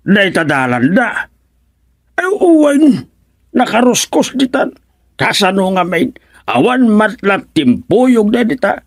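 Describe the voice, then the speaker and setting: A man playing a fussy, excitable older villager in a radio comedy drama, speaking with an Ilocano accent and lots of exclamations. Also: extremely high pitch, exaggerated voice. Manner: exclamatory, pleading, animated.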